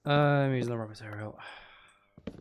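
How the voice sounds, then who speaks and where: A man's voice: mid-range voice, steady tone, normal speed, slight background noise. A man chatting casually to viewers as he plays a building game, often murmuring half to himself and trailing off, with a self-deprecating manner. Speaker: live streamer playing a building game. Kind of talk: casual chat with viewers while gaming.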